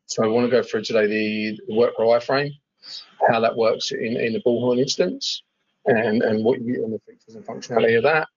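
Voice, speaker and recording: A man giving an informal software walkthrough as a webinar presenter, hesitating and repeating words as he explains. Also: medium pitch, fairly even intonation, fairly quick, no echo, muffled recording. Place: screen-share webinar voice-over.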